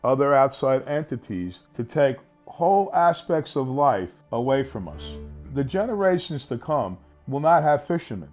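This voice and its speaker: A man's voice: mid-range voice, big pitch swings, normal speed, a little mellow, soft background music. A man speaking bluntly and emphatically in an advocacy interview.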